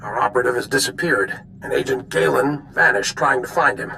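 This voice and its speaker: An actor playing a weary, grave older general who gives deliberate military briefings in a video game. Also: male voice, mid-range voice, creaky, sharp tone, slight background noise. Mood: grave, confiding. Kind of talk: dramatic video game dialogue.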